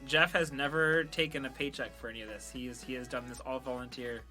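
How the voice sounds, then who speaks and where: A man's voice: medium pitch, fairly even intonation, fast talker, sharp tone. A man hosting a podcast in a casual, earnest and enthusiastic way, talking directly to listeners. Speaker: podcast host and audio drama creator. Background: background music.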